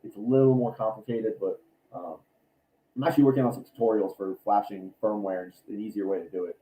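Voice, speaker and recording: A man with a raspy voice from allergies, chatting casually on a livestream about his builds, rambling with many ums and restarts. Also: lowish voice, steady tone, fast, dark tone, rough recording. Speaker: cosplay maker and YouTuber.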